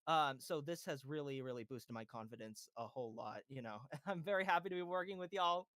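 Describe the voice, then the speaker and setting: Giggly rambling man with a higher voice. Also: lively intonation, a little fast, a bit bright, no echo, studio quality. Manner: rambling, many fillers and self-corrections, giggly. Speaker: voice actor on a cast panel. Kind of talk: casual livestream chat.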